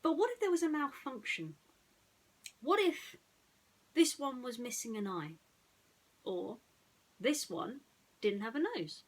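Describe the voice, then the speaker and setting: A woman's voice: fairly high pitch, lively intonation, unhurried, pauses often, rough recording. A woman storyteller narrating a bedtime story in a chatty way, putting questions to her listeners. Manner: addresses listeners with rhetorical questions.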